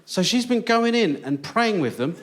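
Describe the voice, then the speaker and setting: A man's voice: high-pitched, expressive, normal speed. A man with a British accent telling anecdotes in a live stand-up set. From Britain. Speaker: stand-up comedian. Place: on stage in a radio theatre. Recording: noisy live theatre recording.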